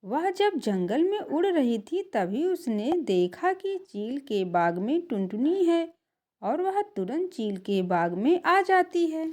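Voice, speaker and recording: A woman tells a children's cartoon story in a high, melodic voice with wide pitch movement. She reads the scripted narration slowly, scene by scene. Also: very animated, darkish tone.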